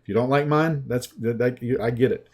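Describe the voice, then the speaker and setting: Man, Southern US accent, mellow warm tone, slow folksy delivery, coaching sales pitch. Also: fairly even intonation. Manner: slow, folksy, conversational with restarts.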